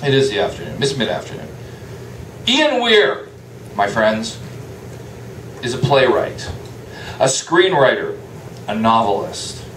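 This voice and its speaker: A man's voice: medium pitch, huge pitch swings, unhurried, long pauses, a bit bright. A man hosting a live event, introducing a guest with playful, jokey hype. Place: indoor venue in a restaurant. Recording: roomy live venue, distant mic, faint noise.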